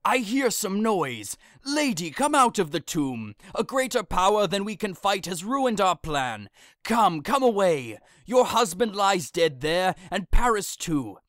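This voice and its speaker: A woman's voice: medium pitch, big pitch swings, a little slow, a bit bright, dry recording, clean studio sound. A woman playing an anxious, kindly old friar in an audio drama, fretful and exclamatory. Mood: urgent, frightened. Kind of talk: audio drama acting of modernized Shakespeare.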